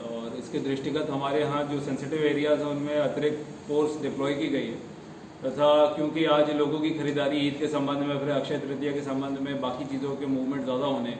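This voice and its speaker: A man's voice: medium pitch, flat delivery, brisk. A man, an official giving a formal press statement in officialese sprinkled with English terms. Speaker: police or administration official. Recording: echoey, distant mic, noisy ambience.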